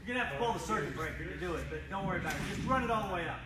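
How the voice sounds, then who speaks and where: A man's voice: high-pitched, animated, racing. A man inspecting an aircraft, explaining what he finds in a practical, plain-spoken way and directing others as he works. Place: aircraft hangar. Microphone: camera at some distance, livestream. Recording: echoey hangar, from far off, loud background chatter.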